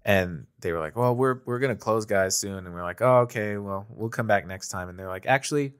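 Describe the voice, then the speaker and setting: Low-voiced young American man, casual and slangy. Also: a little fast, clean tone. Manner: informal, anecdotal, slangy. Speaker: podcast host. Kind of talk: casual chat.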